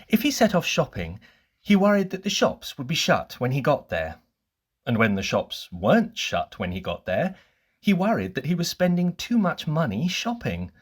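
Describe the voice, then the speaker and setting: A storyteller narrating a children's bedtime story in an expressive, scripted reading style. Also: medium pitch, normal speed, slightly rough.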